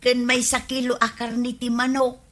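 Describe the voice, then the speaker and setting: Woman acting a bright-voiced, worried villager. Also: fairly high pitch, monotone, normal speed, natural voice. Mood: polite. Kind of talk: Filipino comedy radio drama acting.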